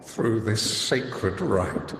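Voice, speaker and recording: A man playing a solemn high priest in a video game, speaking gravely and formally in a ceremonial manner. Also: extremely slow, background noise, exaggerated voice. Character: solemn male high priest crowning a holy maiden. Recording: long cathedral-like reverb, music behind.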